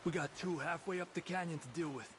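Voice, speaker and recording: An actor playing a terse, bossy outlaw gunslinger giving urgent orders in a video game. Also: male voice, a little high, fast, background noise, close to an everyday voice. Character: commanding male outlaw gunslinger, urgent and gruff-mannered. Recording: loud music behind the voice.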